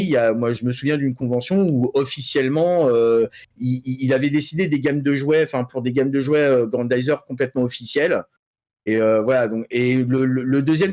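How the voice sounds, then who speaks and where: Male columnist, mid pitch, casual and hesitant news-panel delivery. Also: a little mellow. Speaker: panel columnist on an anime and geek news show. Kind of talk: casual news presentation and discussion.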